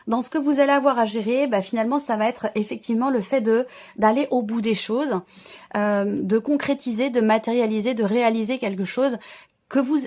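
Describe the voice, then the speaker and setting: A woman's voice: fairly high pitch, a little mellow. A woman giving an informal tarot reading to viewers, explaining in a reassuring way while searching for words and repeating herself. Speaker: tarot reader and channel host.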